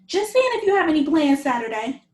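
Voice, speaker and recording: A woman plays a breezy young mom who pushes and coaxes. Her voice is high and very lively in pitch, recorded in an echoey room for a script table read. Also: fairly quick. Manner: perky, persuasive. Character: Tiffany, breezy, pushy young mother wheedling her friend. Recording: roomy, echoing sound.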